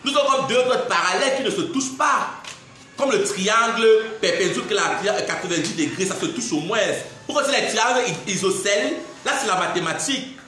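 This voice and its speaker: A young man with a Cameroonian French accent rants to his viewers, indignant and mocking, laughing between jabs. His voice sits high and sounds bright and sharp. The sound bounces around a very echoey room. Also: lively intonation, brisk, faint music behind. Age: 20s. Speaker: social media personality. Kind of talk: ranting live video monologue.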